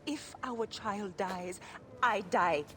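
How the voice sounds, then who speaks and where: A woman's voice: mid-range voice, slow pace, music in the background, lightly acted. A woman playing an anguished expectant wife in a video game, speaking in a grave, bitter way. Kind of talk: dramatic video game acting.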